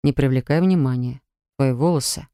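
A woman voicing a calm young male healer student, speaking low, soft and soothing in an audiobook. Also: normal speed, clear, resonant tone, no echo, studio quality. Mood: quiet soothing. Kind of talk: audiobook character voice.

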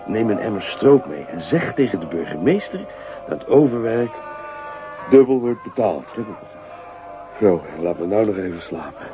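Deep, drowsy, lazy folk hero. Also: male voice, big pitch swings, unhurried, music in the background. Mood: drowsy, grumbling. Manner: drowsy, yawning, unbothered. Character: sleepy, lazy, boastful folk-tale hero, a man. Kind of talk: radio play acting. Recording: dull, noisy old radio recording.

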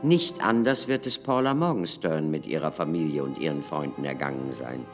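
A man's voice: a bass voice, lively intonation, normal speed, faint music behind. An actor playing a grave, reflective learned man in a radio drama. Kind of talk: German radio drama acting.

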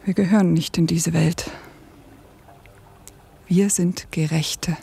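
A woman's voice: lowish voice, steady tone, slow, vocal fry. An actress playing a tender, anguished young revolutionary woman in a German radio drama, intense and pleading, often dropping to a whisper. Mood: wistful, sad.

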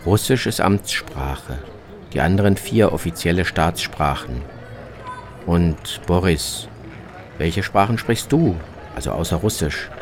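A man's voice: low-pitched, slight background noise. A man narrating a scripted, literary travel piece for radio as its reporter.

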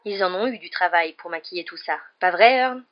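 A woman's voice: lowish voice, fairly quick, close to an everyday voice. Woman voicing a cocky, gossipy young male conductor, dull sound. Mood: dramatic, gossipy. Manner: chatty, ends remarks with tag questions.

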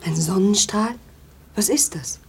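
Husky, fearful, disbelieving woman. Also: medium pitch, a little slow, exaggerated voice. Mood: uneasy questioning. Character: fearful, disbelieving woman of the sealed dark world. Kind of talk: German radio play acting.

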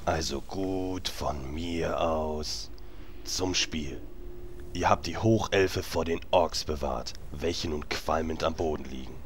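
A man's voice: extremely low pitch, slow pace, a little hiss or hum, exaggerated voice. A man acting an exasperated game master in a comedy audio drama, explaining things in a weary, impatient way. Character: exasperated male game master of a tabletop group.

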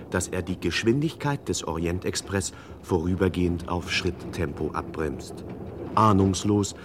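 The narrator of a vintage radio detective spoof. His voice is deep and his delivery pompous, ornate and ironically admiring. The old recording carries slight noise. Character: mock-solemn male narrator of a detective spoof.

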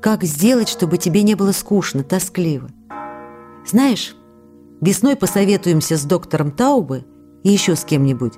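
An actress playing an affectionate, anxious young wife in a radio play of letters, speaking tenderly, earnestly and expressively. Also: female voice, lowish voice, sing-song, moderate pace, warm tone, faint music behind. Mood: tender, wistful. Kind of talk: dramatised reading of intimate letters, radio play.

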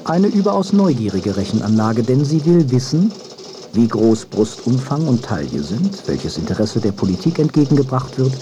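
Mid-pitched man reading over noise. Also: sing-song, moderate pace, warm tone. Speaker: reader of quoted texts. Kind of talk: scripted reading of period prose.

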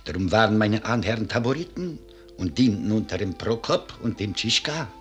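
A man's voice: lowish voice, lively intonation, normal speed, natural voice. A man playing a grave, proud and mournful old Bohemian cellar master in a 1950s German radio drama. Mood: proud, solemn. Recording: 1950s radio recording, music behind.

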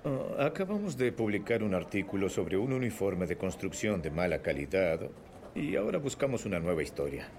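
A man's voice: sits low, sing-song, a little fast, vocal fry, a little mellow, exaggerated voice. A man dubbing a friendly, easygoing investigative team editor in a Spanish film dub.

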